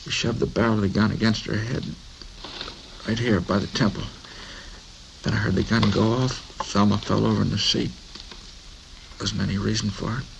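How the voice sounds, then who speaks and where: A shaken, wounded young man in an old radio crime drama. His low voice is creaky and halting, pained and bitter, on a faintly noisy vintage recording. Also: steady tone. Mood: shaken, grieving. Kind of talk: 1950s radio drama acting.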